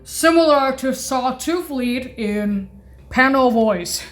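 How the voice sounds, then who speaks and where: A woman presenting an informal keyboard sound demo in a halting, repetitive way, often correcting herself. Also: high voice, expressive, faint music behind. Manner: halting, repetitive, frequently self-correcting and apologizing. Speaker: keyboard demonstrator presenting organ voice effects. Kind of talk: informal music demo, announcing preset names.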